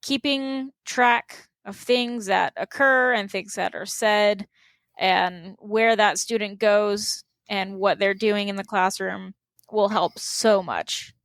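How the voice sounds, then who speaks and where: A woman's voice: mid-range voice, fairly even intonation, takes it slowly, a bit bright, no room sound. Practical woman co-host, dry sound. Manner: practical, advice-giving. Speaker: podcast co-host, teacher. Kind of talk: casual interview chat.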